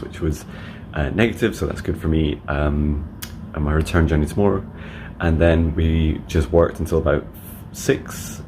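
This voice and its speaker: Deep low monotone guy, gentle spiritual talk, faint hiss behind. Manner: soft, slow affirmations; chatty with many ums in updates. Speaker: psychic mentor. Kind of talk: meditation messages and video diary updates.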